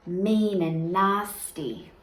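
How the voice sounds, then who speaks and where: A woman reading a children's picture book aloud like a teacher, expressively and deliberately, with emphasis on key words and asides to young listeners. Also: on the low side. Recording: echoey room with faint background noise.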